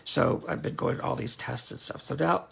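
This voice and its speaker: A man demonstrating card making in a casual, rambling way, with a hoarse, sometimes nasal voice. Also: mid-range voice, sing-song, normal speed, heavy background noise. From North Carolina. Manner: chatty and rambling, frequent fillers and restarts.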